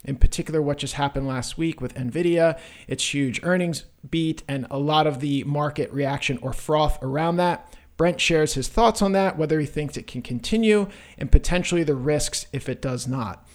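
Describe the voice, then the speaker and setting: A man's voice: on the high side, normal speed. A man hosting a podcast, opening the show and chatting with his guest in an upbeat, conversational way. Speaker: podcast host.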